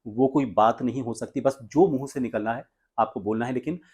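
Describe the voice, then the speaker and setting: A man's voice: mid-range voice, a little fast, darkish tone, slightly rough. A man from India presenting an instructional tutorial as a voice coach, explaining exercises step by step.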